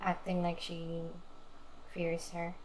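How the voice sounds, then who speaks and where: A woman giving casual, low-key commentary in brief reactions while streaming a video game. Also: monotone, takes it slowly, darkish tone, slight background noise. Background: game music.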